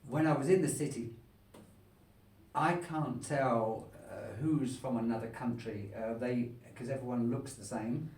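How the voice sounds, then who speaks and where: A man chatting casually as he interviews his host, full of "yeah" and "um" and quick jokes. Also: medium pitch, a little mellow. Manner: chatty, frequent "yeah" and "um", jokey. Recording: echoey room, mic at a distance.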